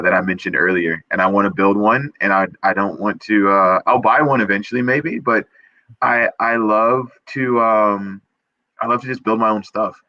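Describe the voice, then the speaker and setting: A man's voice: fairly low pitch, sharp tone, no room sound, muffled recording. A young man, a perfumer guest on a video call, talking earnestly and eagerly, full of restarts and fillers. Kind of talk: casual interview chat.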